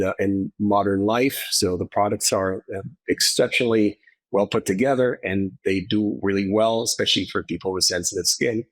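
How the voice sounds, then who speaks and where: Israeli-born doctor guy, lowish voice, lots of uhs, dry sound. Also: dry recording, poor audio. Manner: hesitant, many fillers and restarts. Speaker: dermatologist guest. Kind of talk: interview answers.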